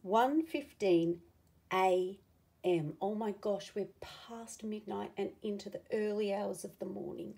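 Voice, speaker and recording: A woman reading a picture book aloud to children, with expressive, dramatic emphasis and asides to the listener. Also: mid-range voice, unhurried, vocal fry, slightly rough.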